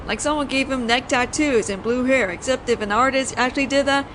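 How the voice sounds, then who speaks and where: A woman's voice: sits high, fairly quick, close to an everyday voice. A woman playing a flirtatious, teasing mafia boss in an audio roleplay, talking in a playful, conversational storytelling way. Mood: amused reminiscing. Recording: noisy outdoor city ambience, traffic.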